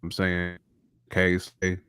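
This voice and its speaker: Low-voiced man in casual, emphatic chat. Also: monotone, takes it slowly, pushed and bright, clear, resonant tone, no echo. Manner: slangy, emphatic, repeats phrases for emphasis. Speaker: hip-hop podcast co-host.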